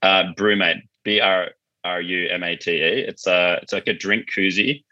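A man with a deep but bright, forward voice talks casually as an interview guest. He explains his thoughts informally, working them out as he goes. The sound is close and dry, a little muffled and lo-fi. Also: steady tone, moderate pace, no room sound. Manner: informal, explanatory, thinking aloud. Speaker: podcast guest, marketing agency founder.

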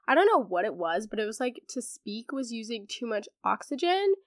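Teenage girl, congested voice, high-ish pitch, sarcastic casual podcast chat, dry close sound. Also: very animated, normal speed, no room sound. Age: teens. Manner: chatty and sarcastic, many fillers. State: congested, recovering from laryngitis. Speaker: podcast host.

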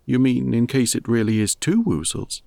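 A man voicing a slow, earnest, muddled male teddy bear in a storybook reading, speaking in a ponderous, mysterious, solemnly puzzled way. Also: mid-range voice, expressive, vocal fry, warm tone, no echo, studio quality. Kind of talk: storybook character voice.

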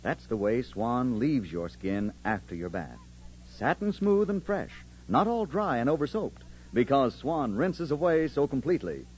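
A man delivers a 1940s radio commercial as the announcer. His polished, persuasive read has lively pitch movement, on a muffled old broadcast with faint noise. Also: unhurried.

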